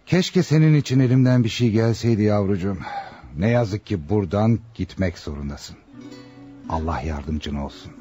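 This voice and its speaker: An actor in a Turkish radio drama playing a kindly, paternal prison director with a husky voice and an expressive delivery. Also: male voice, fairly low pitch, moderate pace, soft background music, natural voice. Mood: regretful, gentle. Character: kindly, paternal male prison director, sympathetic but bound. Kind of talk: Turkish radio theatre acting. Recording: dull, dry old radio recording.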